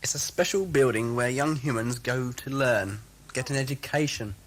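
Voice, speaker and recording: Brisk, theatrical time-travelling hero played by a man. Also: medium pitch, natural voice. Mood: cheerful, brisk. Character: flippant, decisive time-travelling Doctor, adult man. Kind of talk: fan audio drama acting. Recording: music over old cassette recording.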